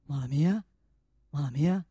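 A woman's voice: low-pitched, unhurried, dark tone, slightly rough, no echo, clean studio sound, voice pushed down. A woman voicing a pompous, bullying male arms-factory owner, blustering and folksy, tagging his talk with "eh?" in a comic theatrical monologue. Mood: stern, bullying.

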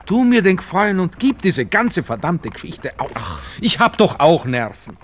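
Young viennese gent in old radio drama, bossy but genial friend, very animated, bit noisy. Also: male voice, a little high, normal speed. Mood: urging, persuasive. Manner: lecturing, insistent, genial. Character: worldly, protective young Viennese gentleman, sociable friend. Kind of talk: 1960s German radio drama acting. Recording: noisy old radio play with outdoor ambience.

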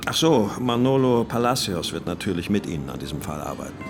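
Low-pitched commanding police boss. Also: male voice, very animated, fairly quick, exaggerated voice. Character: brisk, commanding male police superior. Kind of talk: radio drama dialogue. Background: clear plucked guitar music.

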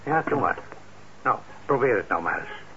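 An actor in a radio play as a kindly, trusting middle-aged shopkeeper, speaking gently and haltingly with patient encouragement. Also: male voice, mid-range voice, expressive, lightly acted. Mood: patient, friendly. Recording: dull, noisy old radio recording.

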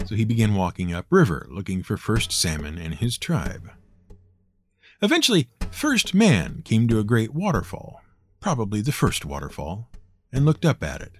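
A man's voice: fairly low pitch, wildly swooping pitch, normal speed. A man narrating scripted folk tales as host, in a wry, theatrical manner. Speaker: storyteller and show host.

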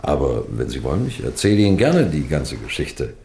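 A man's voice: extremely low pitch, big pitch swings, brisk, vocal fry, darkish tone, exaggerated voice. A man playing a wily, composed police detective in an old-fashioned radio crime play, courteous and teasing in a theatrical way. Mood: calm, taunting. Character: wily, composed male police detective telling his own case. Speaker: narrator and lead actor.

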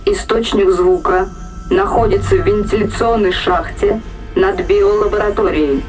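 Acted ship's computer, dutiful, formal, matter-of-fact, medium pitch, sci-fi audio drama, music behind. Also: fairly even intonation, measured pace, natural voice, low-quality recording. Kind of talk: science fiction audio drama acting.

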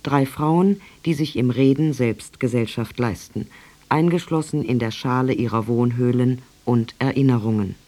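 A woman's voice: extremely low pitch, moderate pace, mellow. A woman with a standard German accent reading a scripted radio programme preview as an announcer.

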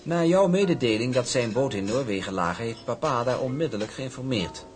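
Suave villainous shipping heir, played by an actor, formal and ironic, very animated, radio drama with music underneath. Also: male voice, mid-range voice, a little fast, close to an everyday voice. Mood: smug, calculating. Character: suave, scheming shipping magnate's son, charming and smug.

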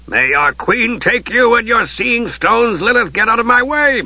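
A man's voice: a little high, brisk, pushed and bright, breathy edge, no room sound, lo-fi sound, exaggerated voice. A man voicing a stern, impatient warlord giving orders. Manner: curt, commanding.

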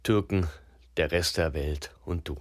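Radio drama narrator, grim and terse, very low voice, dry and close. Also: male voice, unhurried, no room sound. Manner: terse, matter-of-fact.

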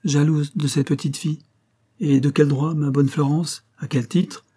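A man's voice: fairly low pitch, steady tone, normal speed, dark tone, slightly rough. A man voicing a proud young actress wife in a storybook reading, impatient, mocking and bitter. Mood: indignant. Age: young adult. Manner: railing, vehement, impatient.

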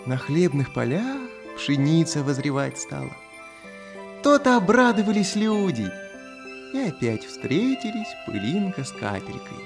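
A man's voice: on the high side, sing-song, unhurried, gravelly. A man narrating a children's fairy tale as a storyteller, theatrical and addressed to small listeners. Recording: music behind the voice.

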